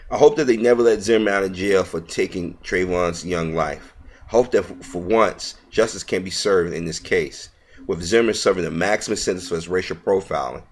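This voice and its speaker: Low-pitched man reading news with commentary. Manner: stumbles over words while reading; earnest, opinionated. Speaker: online video commentator.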